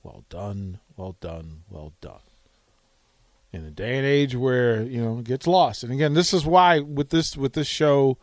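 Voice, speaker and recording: A man's voice: medium pitch, animated, unhurried, darkish tone. A man hosting a radio show in casual chat, often repeating phrases for emphasis. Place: radio studio.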